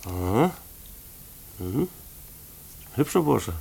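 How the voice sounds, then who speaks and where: A man's voice: mid-range voice, very animated, very slow, gravelly, warm tone, a little hiss or hum, full character voice. An actor playing a kindly, bashful rural postman in a German radio play, folksy and given to stammering, evasive phrases. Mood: kindly, flustered.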